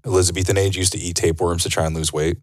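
A man's voice: low-pitched, flat delivery, rapid-fire, no echo, studio quality. A man hosting a casual fitness podcast, joking around with his co-hosts. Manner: joking, does goofy "ba ba ba" sound effects. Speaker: podcast host.

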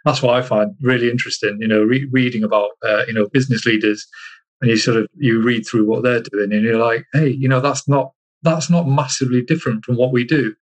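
A man from Iceland chatting casually as an interview guest, halting and repeating himself as he searches for words. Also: mid-range voice, fairly even intonation, poor audio. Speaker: interview guest, army soldier.

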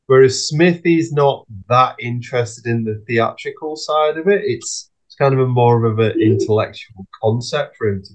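A man's voice: mid-range voice, normal speed, hardly any pauses, low-quality recording. A man in a casual music discussion, thinking aloud and often ending his points with tag questions. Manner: tentative, musing, often seeking agreement with tag questions. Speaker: podcast panellist.

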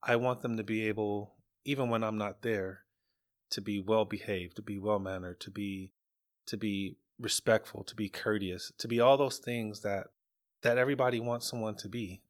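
Male guest, middle-aged, lowish pitch, measured conversational answers with repeated words. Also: fairly even intonation. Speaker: guest, parent. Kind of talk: casual conversational interview answers.

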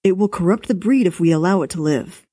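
Figure: small, contemptuous boy, read by a woman. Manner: calm, cold, in control. Tone: dark, dry. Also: fairly low pitch, fast, no echo, natural voice.

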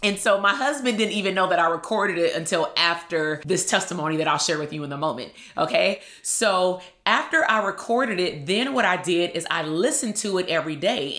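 A woman psychologist hosting a podcast, giving a chatty, upbeat motivational talk straight to her listeners in a loud voice. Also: on the low side. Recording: echoey room.

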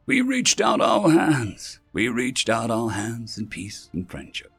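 Man acting a bitter, grave human ambassador, emphatic and gravelly. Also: mid-range voice, big pitch swings, fairly bright tone, soft background music, heavily acted. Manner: emphatic, drawn-out, solemn delivery. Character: grave, wounded human ambassador recounting a catastrophe.

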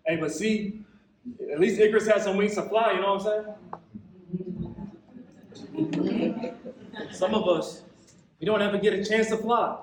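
A young man with an American accent performing a theatrical stage monologue as an embittered, wounded young man, impassioned and colloquial, with dramatic pauses. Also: high-pitched, steady tone, a little hiss or hum. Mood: wounded, wistful. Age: young adult. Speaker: actor in a monologue competition. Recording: echoey hall, recorded from far off.

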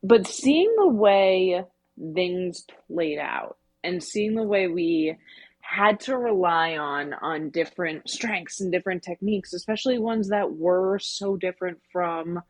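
Slightly husky woman chatting on a podcast. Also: on the low side, lively intonation, unhurried, a little mellow. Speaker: podcast co-host. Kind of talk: casual book discussion.

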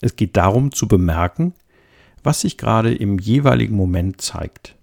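A man calmly giving an introduction to a body scan meditation, speaking slowly and gently. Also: fairly low pitch, vocal fry. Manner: slow, gentle, soothing. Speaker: meditation guide.

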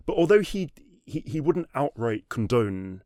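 A man telling a history story in a conversational way, animated, with frequent restarts and fillers like "sort of" and "um". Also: medium pitch, unhurried, dry recording, studio quality. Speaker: history guest.

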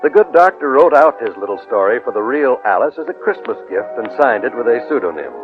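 A man's voice: medium pitch, very animated, brisk. A man giving scripted storytelling narration in the style of 1950s radio drama. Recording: muffled old radio recording. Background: music.

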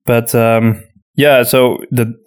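Man, Flemish accent, native Dutch speaker, mid-pitched voice, casual co-host chat, halting with repeated words. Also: level tone. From Flanders, Belgium. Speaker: podcast co-host and audio drama writer. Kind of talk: casual conversational Q&A.

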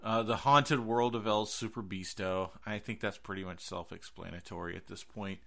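A man's voice: on the low side, fairly even intonation, normal speed, gravelly, pushed and bright. An American man hosting a horror podcast, casually chatting through movie recommendations.

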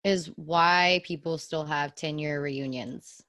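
A woman's voice: low-pitched, fairly even intonation, slow pace, a bit bright, dry recording, studio quality. A woman in her twenties chatting and telling stories casually, blunt and slangy, swearing freely. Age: 20s. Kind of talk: casual chat, storytelling.

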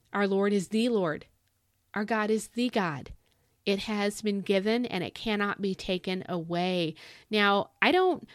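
A woman's voice: mid-range voice, no room sound, studio quality. A woman giving a casual, earnest Bible devotional in a conversational, emphatic way, her voice slightly scratchy as if starting to go. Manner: conversational, earnest, emphatic repetition of key words. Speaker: podcast host teaching the Bible.

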